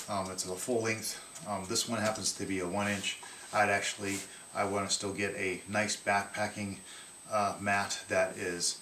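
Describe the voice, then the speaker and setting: Man, thirties, low voice, halting with lots of ums, casual gear demo, living room, distant roomy mic. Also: bright, forceful tone, a little hiss or hum. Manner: halting, many ums and restarts. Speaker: outdoor gear vlogger. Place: living room, seated at table.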